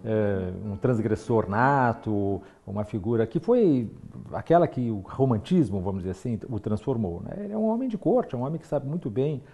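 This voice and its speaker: A man's voice: mid-range voice, animated, moderate pace, mellow, dull audio. A man explaining a subject as an expert in an interview.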